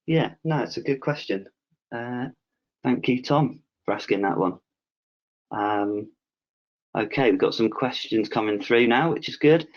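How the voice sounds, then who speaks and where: A man hosting an online Q&A with a panel, reading out viewers' questions in a relaxed way with lots of "um"s. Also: mid-range voice, unhurried, long pauses. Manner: relaxed, filler-heavy, reads out questions. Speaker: webinar host. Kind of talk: casual Q&A moderating. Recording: dull lo-fi call audio.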